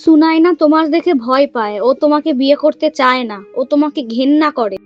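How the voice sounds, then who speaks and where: A woman's voice: high-pitched, moderate pace, lo-fi sound, natural voice. A woman voicing a firm, fretful village mother protecting her daughters in a cartoon. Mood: scolding. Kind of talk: cartoon voice acting.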